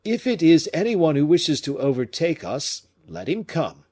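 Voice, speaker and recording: Brief: haughty noble, barking orders, high and loud, a male reader puts him on. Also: sing-song, normal speed, slightly rough. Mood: imperious. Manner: imperious, exclamatory. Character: agitated proud male French nobleman.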